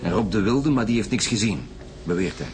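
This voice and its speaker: An actor playing a blunt, sarcastic chief police inspector in a radio drama, with a Flemish accent. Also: male voice, fairly low pitch, expressive, fairly quick, a little mellow, soft background music, lightly acted. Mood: sceptical. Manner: gruff, sarcastic, colloquial. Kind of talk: naturalistic radio drama acting.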